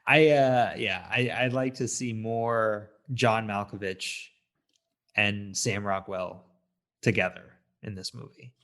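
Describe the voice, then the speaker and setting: A man's voice: fairly low pitch, slow, a bit bright. A man co-hosting a movie review podcast, talking in a casual, opinionated way. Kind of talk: casual film discussion.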